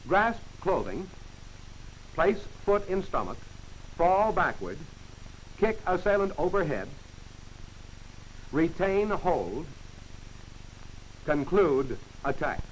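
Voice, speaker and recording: High-voiced man narrating an old film. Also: very animated, slow, long pauses, poor audio. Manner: crisp, clipped commands. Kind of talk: scripted instructional narration. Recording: hissy old film soundtrack.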